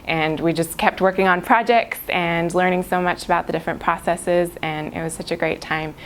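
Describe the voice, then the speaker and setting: A young woman, a graduating student, speaking gently and appreciatively about her department. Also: fairly low pitch, fairly even intonation, moderate pace, slight background noise, poor audio. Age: young adult. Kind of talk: casual personal reminiscence.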